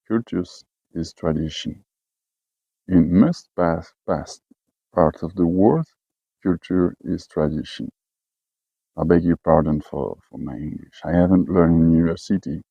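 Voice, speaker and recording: A man from French-speaking Switzerland with a Swiss French accent, talking casually in halting English with many fillers and restarts. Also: sits low, creaky, breathy edge, dry recording, lo-fi sound. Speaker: expatriate herder. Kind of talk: casual personal talk.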